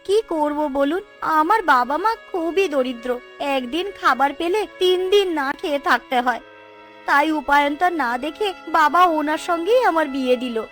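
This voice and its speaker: Cartoon folk tale: actress playing submissive young new bride, soft, polite, high voice, music behind. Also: female voice, lively intonation, a little slow. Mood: meek pleading. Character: meek, pleading young new bride from poor family.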